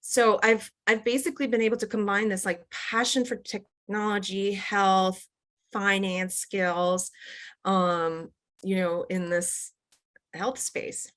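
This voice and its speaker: Middle-aged woman, interview guest; loose, chatty delivery full of fillers, even middle pitch. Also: slow. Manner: chatty, many fillers and restarts, laughs often. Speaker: guest, company executive. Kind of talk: casual interview.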